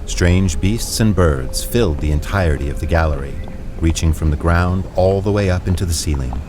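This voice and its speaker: A man's voice: deep voice, moderate pace, noisy background. A man reading scripted story narration as the narrator of an audio drama. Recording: music behind the voice.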